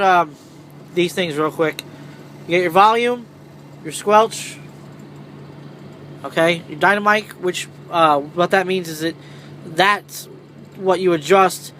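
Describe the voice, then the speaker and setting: A man with a high-pitched voice talks through a casual hands-on tutorial. His delivery is informal and rambling, with some salty language. There is some vehicle noise around him, as if recorded in a truck cab. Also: fairly bright tone. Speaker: truck driver presenting a how-to video. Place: inside a parked semi truck cab. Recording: clearly noisy vehicle ambience.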